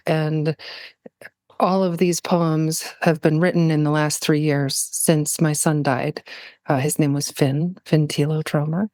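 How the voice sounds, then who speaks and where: Low-voiced, thoughtful woman in conversation. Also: a little mellow, no room sound. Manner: halting, thoughtful, searching for words. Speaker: podcast guest, poet. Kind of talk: reflective personal conversation.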